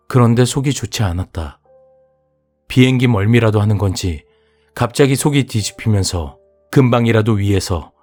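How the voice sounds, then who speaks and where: A man reading a horror audiobook as its narrator, telling the story in a measured, even way. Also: lowish voice. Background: soft music.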